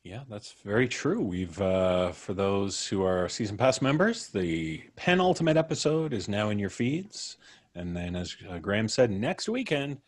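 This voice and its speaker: A man's voice: lowish voice, sing-song, unhurried. A man hosting a podcast, chatting casually with colleagues and listeners on a livestream. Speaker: podcast host. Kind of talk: casual after-show livestream chat.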